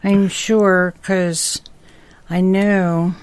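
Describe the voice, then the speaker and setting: A woman's voice: lowish voice, flat delivery, very slow, darkish tone, smooth, dry recording. A middle-aged or older woman co-hosting a podcast, chatting casually in an agreeable, easygoing way. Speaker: podcast co-host. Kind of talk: casual chat.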